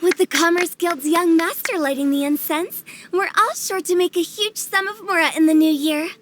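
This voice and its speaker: A woman's voice: very high voice, moderate pace, soft background music. A woman voicing a cheeky teenage girl director in a video game, breezy and theatrically ceremonious. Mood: teasing, insistent. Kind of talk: animated game dub acting.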